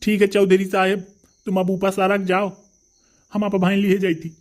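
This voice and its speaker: A mild male villager, played by a man in a comedy cartoon. He talks in a fairly high, monotone voice with a Bundelkhandi accent, plain-spoken and deferential to others. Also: moderate pace, close to an everyday voice. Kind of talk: comic cartoon dialogue.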